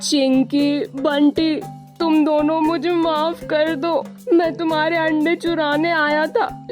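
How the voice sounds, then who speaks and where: A woman voicing a sly but humbled male crow thief in a cartoon, with a plaintive, whining manner. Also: very high-pitched. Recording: music bed behind the voice.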